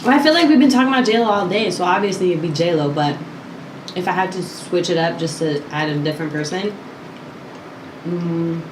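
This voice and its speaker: A woman's voice: lowish voice, fairly quick, background noise. A woman in her twenties hosting a casual video chat, playful and giggly, with loose slang. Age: 20s. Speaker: YouTube host. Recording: roomy indoor echo with faint ambience.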